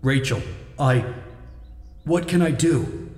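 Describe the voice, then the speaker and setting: A man's voice: medium pitch, lively intonation, slow, a little mellow, recorded from a distance, voice pushed up. Guy doing a distressed teen boy, urgent and helpless, echoey reverb on the voice, audiobook drama. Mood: desperate. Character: anguished, loyal teenage boy, helpless.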